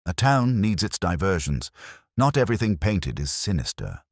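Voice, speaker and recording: Man voicing a brisk, no-nonsense village woman. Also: low-pitched, big pitch swings, dry recording, clean studio sound, exaggerated voice. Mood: brisk, dismissive. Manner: brisk, practical. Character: brisk, practical, dismissive village workroom woman.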